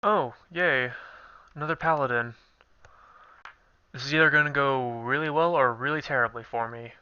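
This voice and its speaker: A man's voice: normal speed, pushed and bright, clear, resonant tone, no room sound. A young man with a stuffy nose, casually thinking aloud while commentating a card game. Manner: thinking aloud, low-key. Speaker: gameplay commentator. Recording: dull lo-fi recording.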